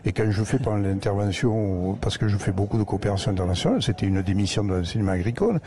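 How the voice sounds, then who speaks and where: A man's voice: lowish voice, expressive, quick pace, dark tone, a little hiss or hum. A man with a Lozère accent, an agricultural educator telling rambling anecdotes as a guest in a live radio interview broadcast from a museum. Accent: Lozère, southern France.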